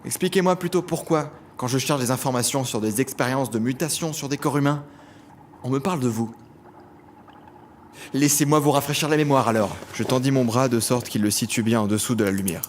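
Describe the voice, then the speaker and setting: A man's voice: medium pitch, a little fast, a little hiss or hum, natural voice. A man playing a bitter, sardonic young criminal in an audio drama, acting and narrating in intense, literary phrasing. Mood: mocking menace. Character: bitter, sardonic young male criminal cursed with healing flesh.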